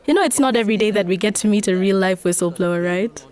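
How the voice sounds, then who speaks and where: A woman's voice: mid-range voice, fast talker, a little mellow, echoey room, recorded from a distance, lightly acted. A woman playing an earnest, idealistic young Kenyan activist in a dramatic motion comic, explaining and persuading. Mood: upbeat.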